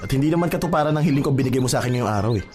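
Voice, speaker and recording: A man's voice: medium pitch, lively intonation, rapid-fire, darkish tone, faint music behind, natural voice. A man acting a grumpy, aloof garbage collector in a Filipino radio drama, curt, scolding and impatient. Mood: annoyed, dismissive.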